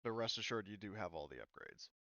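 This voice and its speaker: A man with a lowish, smooth voice works through game rules as game master. He explains in a halting, hedging, conversational way. The sound is close and dry but slightly dull. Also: lively intonation, pushed and bright, dry recording. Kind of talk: explaining tabletop game rules in casual chat.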